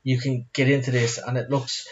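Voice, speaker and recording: A man's voice: mid-range voice, flat delivery, normal speed, lo-fi sound. A man co-hosting a podcast, casually chatting and recounting things in a conversational way. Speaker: podcast co-host. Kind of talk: casual chat, recounting anecdotes.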